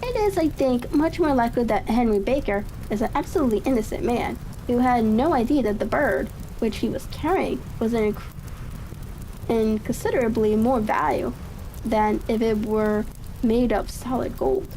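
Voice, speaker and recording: Genial gentleman detective read by a woman, storybook style, assured and courteous, high mellow voice, faint crackle behind. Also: moderate pace, lightly acted.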